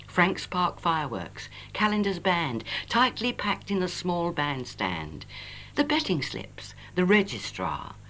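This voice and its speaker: An English woman reciting her own poem in a wistful, lyrical and measured way, on an old home cassette recording. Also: low-pitched, fairly bright tone. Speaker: poet reading own work. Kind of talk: expressive poetry recitation. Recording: noisy 1980s home cassette, humming. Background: tape noise and hum.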